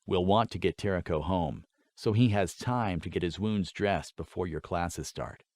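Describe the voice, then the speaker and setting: A man voicing a stern, battle-seasoned father who lectures in a measured, formal way in a fantasy audiobook. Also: low-pitched, a little mellow, dry recording, voice pushed down, close to an everyday voice. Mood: calm command.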